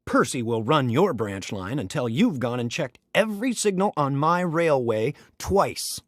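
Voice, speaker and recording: A man's voice: medium pitch, big pitch swings, a little slow, no echo, clean studio sound. A man playing a stern, commanding older railway boss with a formal manner in a storybook. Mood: stern, scolding.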